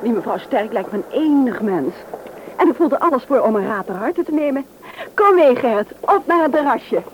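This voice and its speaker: An actress playing a tender, encouraging fiancée in an old Dutch radio play, earnest and affectionate. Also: female voice, fairly high pitch, lively intonation, moderate pace, close to an everyday voice. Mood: playful. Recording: dull, noisy old radio recording.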